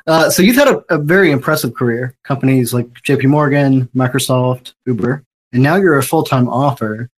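A man's voice: medium pitch, moderate pace, slightly rough. A man hosting a podcast, asking questions in a casual, conversational interview style. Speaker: podcast host.

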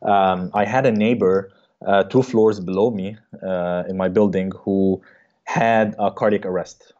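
Low mellow man with halting speech. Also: steady tone. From Egypt. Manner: halting, many fillers. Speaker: guest, cardiologist and startup co-founder. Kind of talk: casual interview answers. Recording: dull lo-fi sound.

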